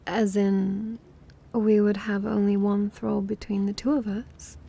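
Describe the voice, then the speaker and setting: A woman's voice: mid-range voice, little pitch movement, unhurried, gravelly, mellow, breathy edge. A woman playing a playful, smug and possessive vampiress in an ASMR roleplay, with a dramatic, coy delivery. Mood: curious.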